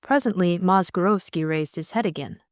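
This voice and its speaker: Lady narrating an audiobook, slightly low voice, dry close sound. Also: moderate pace, dry recording. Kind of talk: scripted story narration.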